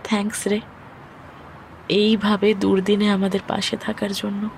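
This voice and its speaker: Slow, subdued grieving young widow played by a woman. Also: mid-range voice, monotone, vocal fry, darkish tone, slight background noise. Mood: subdued, hesitant. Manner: subdued, hesitant. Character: grieving young widowed mother, weary and reserved. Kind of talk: Bengali audio story acting.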